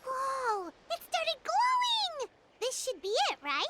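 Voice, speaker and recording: Game sidekick: chirpy, excitable fairy, childlike squeak, very high, hugely animated. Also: female voice, takes it slowly, very noisy, exaggerated voice. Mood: excited. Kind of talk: scripted video game dialogue.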